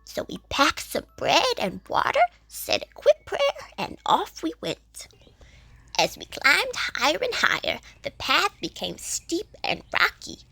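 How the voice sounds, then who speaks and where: A kindly, devout old village lady acted by a woman for a children's audio story. Her high voice swoops expressively and creaks at the edges, dropping into gentle hushed phrases as she reminisces. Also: huge pitch swings, a little slow, no echo, whispered, exaggerated voice. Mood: nostalgic, warm. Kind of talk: children's audio drama acting.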